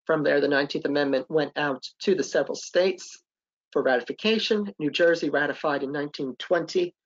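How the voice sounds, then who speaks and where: A man's voice: a little high, muffled recording. A man, a historic site interpreter, presenting and answering questions on a webinar, hesitant, with many ums and uhs.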